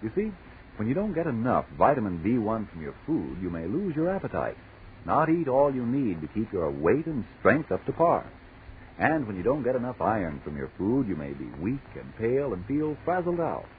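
A man's voice: lowish voice, sing-song, normal speed. A man announcing a 1940s radio commercial in a formal, emphatic sales style. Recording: noisy, tinny 1940s radio broadcast.

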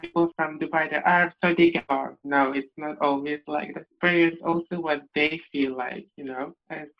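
A man telling travel stories in a casual chat over a video call, animated, laughing often and acting out what people said to him. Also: fairly high pitch, normal speed, creaky. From Netherlands. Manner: animated storyteller, laughs often, acts out exchanges. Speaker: podcast guest. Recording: dull lo-fi video call audio.

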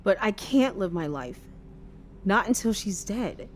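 An actress playing a naive, earnest and oddly frank young person in an audio drama, guileless and matter-of-fact. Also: female voice, medium pitch, animated, unhurried, a little hiss or hum, lightly acted. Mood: puzzled, insistent.

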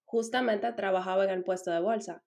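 A Dominican woman in her twenties, a podcast guest, telling her story in a relaxed way with light laughs. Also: medium pitch, fairly quick, vocal fry. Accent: Dominican Spanish. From Dominican Republic. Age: 20s. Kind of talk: casual podcast chat.

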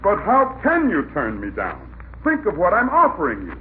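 A man's voice: high-pitched, huge pitch swings, normal speed, noisy background. A man playing a flowery, persistent salesman in radio comedy, delivering theatrical sales patter. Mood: rapturous pleading. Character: flowery, pleading male magazine salesman, gushingly persistent. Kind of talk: 1950s radio comedy acting. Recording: narrow-band old radio recording.

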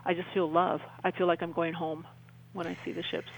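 An older woman, around her sixties, talking as an interview guest in a halting, rambling way and often rephrasing mid-sentence. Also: fairly low pitch, flat delivery, poor audio. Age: older, about 60s. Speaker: interview guest, UFO experiencer. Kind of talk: conversational radio interview storytelling.

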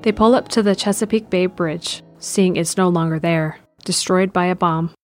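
A woman with a fairly low voice narrates a scripted audio drama. Her delivery is measured and descriptive, and faint music plays behind her. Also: darkish tone.

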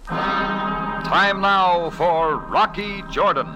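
A man's voice: high voice, very slow. A man announcing in dramatic, scripted 1940s radio style on a vintage broadcast. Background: music.

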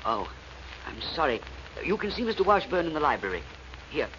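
A man's voice: a little high, big pitch swings, fairly quick. A man acting a formal, reserved servant at the door in 1940s radio drama style. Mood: reserved, courteous. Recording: muffled, noisy old radio recording.

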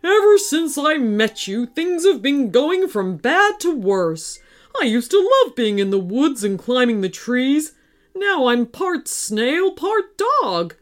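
A worried, whiny snail, voiced by a woman reading a storybook aloud, complaining in loud, high-pitched bursts. The voice rises and falls a lot and moves at an unhurried pace. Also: very animated, heavily acted. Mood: fretful. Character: fretful giant male talking snail.

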